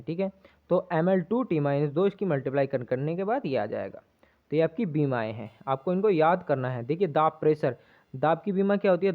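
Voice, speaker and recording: A man giving an exam-prep lesson as a physics teacher, explaining step by step, repeating himself and checking in often. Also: a little high. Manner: repetitive, checks in often with tag questions. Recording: muffled, narrow lo-fi sound.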